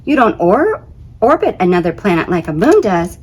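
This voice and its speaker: A woman voicing a chummy, wisecracking storybook planet with a lively, slangy, teasing manner. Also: medium pitch, normal speed, husky.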